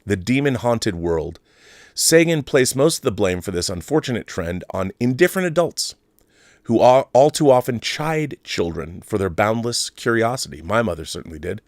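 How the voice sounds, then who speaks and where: A man's voice: fairly low pitch, dry recording, studio quality. A man hosting a livestream, talking casually and reading things out, with conversational asides and repeated phrases for emphasis. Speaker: livestream host. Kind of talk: casual live commentary, reading out comments and articles.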